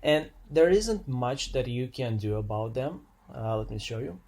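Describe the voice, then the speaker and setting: A man presents an unscripted software walkthrough, explaining step by step in a slightly hesitant way and repeating phrases as he goes. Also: medium pitch, lively intonation, moderate pace, vocal fry.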